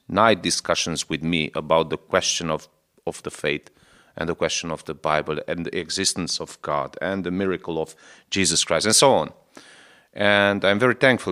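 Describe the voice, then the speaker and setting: A man in his forties, a Lutheran bishop from Transylvania whose first language is Hungarian, answering radio interview questions in halting, earnest English as he searches for words. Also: deep voice, fairly bright tone. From Romania, Transylvania.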